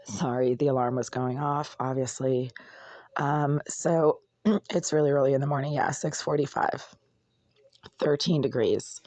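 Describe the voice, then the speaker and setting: A vlogger with a hoarse, raspy voice that cracks and breaks, ranting in an aggrieved way from a cold apartment. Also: medium pitch, fairly even intonation, unhurried, darkish tone, no room sound. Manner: aggrieved, ranting, insults critics. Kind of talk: casual complaint vlog.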